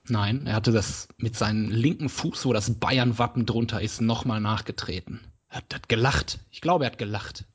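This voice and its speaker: Husky man telling jokey stories. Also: fairly low pitch, normal speed, hardly any pauses. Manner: joking, storytelling. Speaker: podcast co-host. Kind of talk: casual chat.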